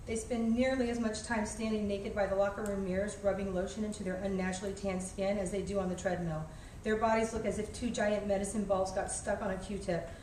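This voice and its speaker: A woman's voice: mid-range voice, fairly even intonation, fairly quick, darkish tone. A woman reading a humorous personal essay aloud with a wry, self-deprecating manner. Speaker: author reading aloud, flight attendant. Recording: echoey room, distant mic, faint noise.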